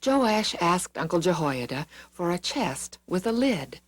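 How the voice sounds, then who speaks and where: A woman narrating scripted Bible stories for children in an expressive, deliberate storytelling manner. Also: lowish voice. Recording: music behind the reading. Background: background music.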